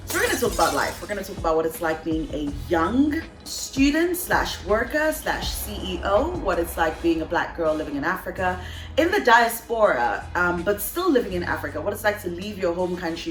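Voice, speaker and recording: A woman's voice: medium pitch, fairly bright tone, reverberant. A young woman from Cameroon with a Cameroonian English accent that drifts toward British, chatting to camera in a bubbly, playful way and laughing often. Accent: Cameroonian English, shifting toward British. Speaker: vlogger and voiceover artist. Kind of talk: casual chat to camera.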